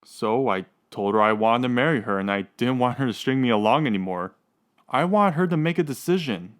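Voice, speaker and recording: A man's voice: mid-range voice, expressive, brisk, natural voice. A man playing a dejected, lovesick suspect under questioning in a radio drama, plainspoken and unsure.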